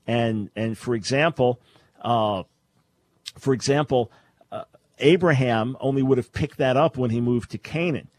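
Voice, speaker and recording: A man's voice: mid-range voice, measured pace, no room sound. A man hosting a call-in radio show, explaining Bible languages in a scholarly way with frequent restarts. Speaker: radio talk show host.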